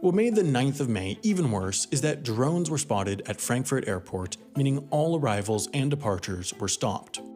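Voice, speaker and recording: A man's voice: medium pitch, big pitch swings, moderate pace, hardly any pauses, darkish tone, faint music behind. A man reading scripted explainer narration as a narrator.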